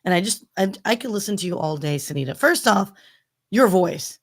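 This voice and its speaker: Gravelly-voiced woman co-host. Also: medium pitch, animated, brisk. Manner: reflective, asks long questions. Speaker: panel co-host. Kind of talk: livestream panel talk.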